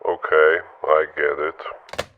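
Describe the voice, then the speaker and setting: A man playing a gruff, terse, obedient hired killer in a radio drama, heard through a phone filter. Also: extremely low pitch, flat delivery, takes it slowly. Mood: obedient, menacing. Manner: terse, deferential. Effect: telephone filter. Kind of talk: old-time radio drama acting. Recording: narrow tinny telephone line.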